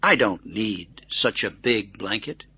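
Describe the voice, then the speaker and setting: A gentle old grandfather of a storybook, voiced by a male narrator, soft and forgiving. He sounds slightly husky and unhurried, quietly resigned, on a dull recording. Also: lowish voice, animated, full character voice.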